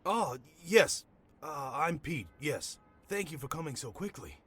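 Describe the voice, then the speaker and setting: A man's voice: a little high, big pitch swings, a little slow, fairly bright tone, husky, soft background music, full character voice. A man playing a nervous, chatty comic shop owner in an audio drama, stammering and wry. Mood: rattled, talkative. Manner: nervous, stammering, wryly talkative. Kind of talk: naturalistic audio drama acting.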